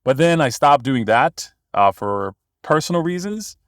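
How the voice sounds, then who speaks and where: A man's voice: medium pitch, expressive, measured pace, no room sound, studio quality. A young man from Bosnia, a guest in a podcast interview, telling his story with enthusiasm. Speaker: guest, copywriter.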